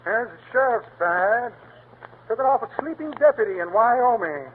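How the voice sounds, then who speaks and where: A sly punning pickpocket, very high. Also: male voice, lively intonation, moderate pace. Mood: gloating. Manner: pun-laden, gloating patter. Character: sly male pickpocket boasting of loot. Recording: tinny 1930s radio broadcast, faint hiss.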